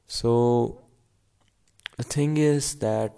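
A nineteen-year-old man, rambling casually at home in a halting way, full of fillers and restarts. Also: mid-range voice, creaky, dark tone, clean tone. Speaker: vlogger.

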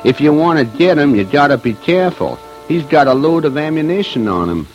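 An actor playing a wary rural informant in a radio drama. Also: male voice, fairly high pitch, very animated, brisk. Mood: wary warning. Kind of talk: old-time radio acting. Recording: muffled radio recording with music.

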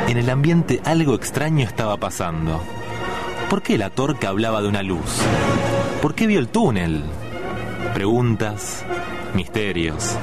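Low-voiced Argentine man narrating over music. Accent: Argentine Rioplatense. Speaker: radio play narrator. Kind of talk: scripted comic-dramatic narration. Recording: loud music under the narration.

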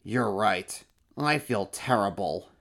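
Brief: man playing a vain monster emperor, slow and showy, gravelly edge, storybook. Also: fairly low pitch, animated, a bit bright, exaggerated voice. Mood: sheepish. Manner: boastful, showy, then sheepish. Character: vain, attention-hungry male monster emperor.

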